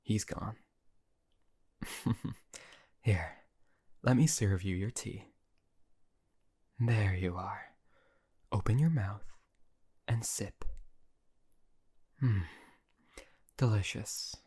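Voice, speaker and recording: A man's voice: fairly low pitch, extremely slow, pauses often, darkish tone, husky, heavily acted. A man playing a possessive, cruel yet doting male emperor in an audio roleplay, speaking in a silky, condescending way that often drops to a whisper. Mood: cruelly tender.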